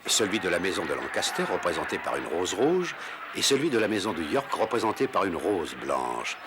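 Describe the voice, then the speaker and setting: A man reading scripted storybook narration for an animated historical adventure film. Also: sits low, normal speed, background noise. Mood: storytelling. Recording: music under the voice.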